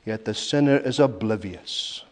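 A man preaching a sermon in an emphatic, rhetorical way, with repeated phrases and dramatic pauses. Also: on the low side. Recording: long reverberant hall echo.

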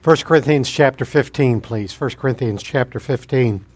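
A man's voice: medium pitch, normal speed. Folksy, joking guest preacher man. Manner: jokey, folksy. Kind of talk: sermon.

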